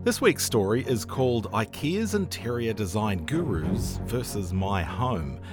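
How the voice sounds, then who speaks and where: A man's voice: on the low side, very animated, a little slow, over music. A man hosting a news podcast, introducing a story and interviewing a colleague.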